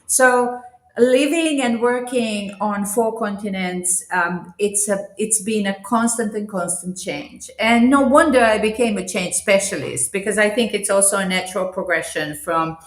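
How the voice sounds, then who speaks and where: A woman's voice: mid-range voice, measured pace. Reflective woman on an echoey call. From Croatia. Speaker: guest, change specialist. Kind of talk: interview over video call.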